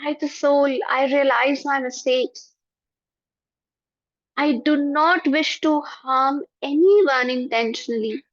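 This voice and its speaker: Lady guiding a forgiveness meditation, soft and prayerful, very slow, dry muffled recording. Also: high-pitched, dry recording. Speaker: meditation guide. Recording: dull lo-fi, dry.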